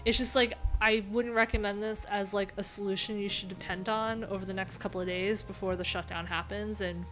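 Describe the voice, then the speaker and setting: A woman's voice: medium pitch, fairly even intonation, brisk, hardly any pauses, a bit bright, faint music behind. A woman live streaming, chatting informally and thinking aloud in a rambling, unfiltered way. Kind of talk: casual live tech stream commentary.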